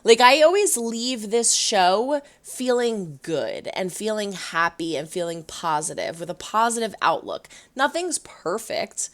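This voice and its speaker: A woman hosting a casual reaction vlog, chatty and animated, her voice going hoarse. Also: mid-range voice. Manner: chatty, animated, rambling with restarts. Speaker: reaction video host.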